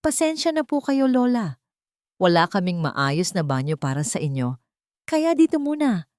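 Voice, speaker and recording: A woman's voice: medium pitch, sing-song, moderate pace, dry recording, studio quality, close to an everyday voice. A woman voicing a kind, respectful young peasant granddaughter in an audio story. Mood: apologetic. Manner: gentle, respectful.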